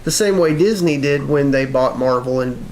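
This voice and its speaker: A man's voice: mid-range voice, normal speed, darkish tone, rough recording. A man co-hosting a casual talk show, giving his opinions conversationally. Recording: noticeable background noise.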